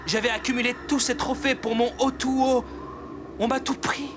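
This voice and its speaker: A man's voice: very high voice, flat delivery, moderate pace, over music. A man voicing an earnest, proud tribesman in a French cartoon dub. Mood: dejected.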